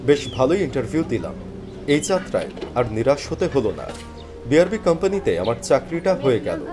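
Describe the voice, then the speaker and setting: Animated male storyteller over music. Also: mid-range voice, brisk. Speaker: story narrator. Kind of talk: dramatic first-person scripted narration. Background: background music.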